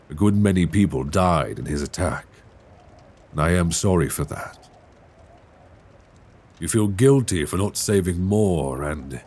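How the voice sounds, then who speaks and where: A man playing an ancient dragon king in an intimate ASMR roleplay, tender and wry, with courtly, teasing phrasing and a husky voice. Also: low voice, a little mellow, exaggerated voice. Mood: tender, soothing. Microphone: close binaural microphone.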